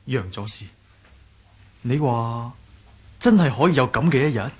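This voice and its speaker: Earnest, husky young sect leader. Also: male voice, medium pitch, lively intonation, a little slow. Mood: earnest, questioning. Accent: Hong Kong Cantonese. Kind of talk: 1980s radio drama acting.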